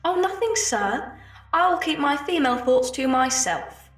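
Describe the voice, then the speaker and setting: An actress as a sharp-witted young street girl in an audio drama, cheeky and eager to prove herself. Her voice is very high, and it echoes around a room, a little off the microphone. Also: expressive, unhurried, distant mic. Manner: cheeky, colloquial, keen. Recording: echoey room, off mic, faint noise.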